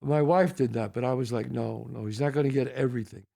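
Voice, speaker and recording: A man's voice: medium pitch, quick pace, creaky. An older man with a New York accent, hosting a casual chat and acting out quotes as he tells stories. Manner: animated storyteller acting out quotes. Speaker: podcast host.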